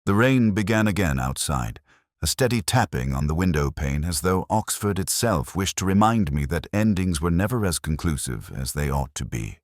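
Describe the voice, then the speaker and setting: A man playing a brooding, world-weary police inspector who narrates his case in solemn, measured, literary prose, like a melancholy detective audio story. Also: deep voice, lively intonation, dry recording, studio quality. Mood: melancholy, reflective. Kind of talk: scripted first-person detective story narration.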